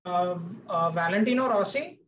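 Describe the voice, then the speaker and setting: A man's voice: high-pitched, animated, normal speed, echoey room, a loud crowd. A man giving short, casual answers as a quiz contestant.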